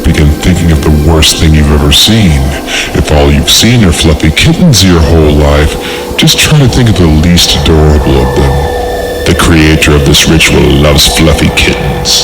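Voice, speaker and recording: Deep-voiced sarcastic man over loud music. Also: rough recording. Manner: sarcastic, rambling, profane, theatrically drawn-out reading. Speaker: podcast host. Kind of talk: reading a horror story with casual comic asides.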